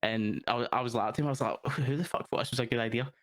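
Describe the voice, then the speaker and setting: A man's voice: medium pitch, racing, a bit bright, no echo. Glaswegian guy, young, laughy and sweary chat, dry close sound, mid voice. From Scotland. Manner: sweary, laughing, says "mate" a lot. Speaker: guest, roleplay streamer. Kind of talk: casual chat.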